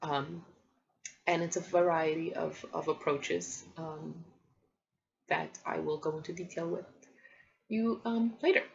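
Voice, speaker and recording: A woman in her thirties whose first language is Polish, talking casually to camera about parenting with many pauses and ums. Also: low voice, steady tone, creaky, highs cut off. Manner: thoughtful, many ums and restarts. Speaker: parenting vlogger. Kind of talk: casual vlog talk to camera.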